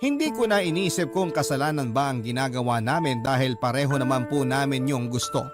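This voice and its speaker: A man's voice: mid-range voice, expressive, a little fast. A man reading a scripted first-person story as a radio drama narrator. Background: music.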